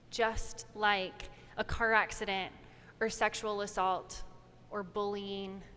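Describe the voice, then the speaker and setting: A woman preacher delivering a measured, prepared sermon in church. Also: mid-range voice, a bit bright. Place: large church indoors. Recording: reverberant church, audible noise.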